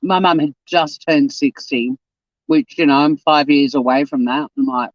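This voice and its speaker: Man, 50s, stream host, chatty, fairly high voice, dry lo-fi mic. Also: moderate pace, no echo. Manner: chatty, reads viewer comments aloud and answers them. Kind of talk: casual live stream chat. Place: home.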